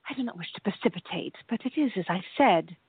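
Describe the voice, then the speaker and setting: A woman's voice: mid-range voice, expressive, quick pace, breathy edge, dry recording. A woman playing a genteel, tender lady novelist with formal period diction in a radio play. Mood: troubled. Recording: dull lo-fi, music behind.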